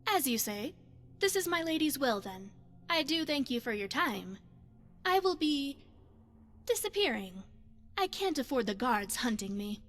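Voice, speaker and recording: A woman playing a poised, secretive spy devoted to her goddess in a video game, speaking in formal, measured phrases. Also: high-pitched, sing-song, fairly bright tone, heavily acted. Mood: composed, courteous. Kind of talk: scripted video game character dialogue.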